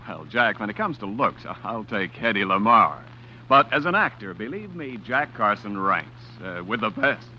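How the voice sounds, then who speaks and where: Man, vintage radio announcer, smooth sales pitch, lively pitch, muffled old broadcast. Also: normal speed, a little hiss or hum. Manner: polished, salesman-like. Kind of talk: 1940s radio sponsor announcement.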